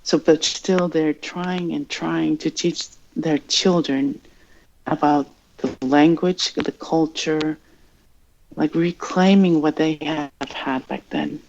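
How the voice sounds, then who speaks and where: A woman from Greenland whose first language is Greenlandic, speaking English slowly and thoughtfully, searching for words as an interview guest. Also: low-pitched, steady tone, darkish tone, a little hiss or hum. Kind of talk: reflective interview answers. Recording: dull lo-fi sound.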